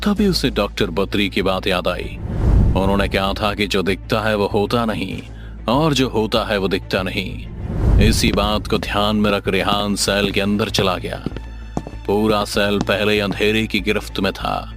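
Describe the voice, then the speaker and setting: A man's voice: fairly low pitch, measured pace. A man telling a scripted horror story in a dramatic storytelling style over background music. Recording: music under the voice.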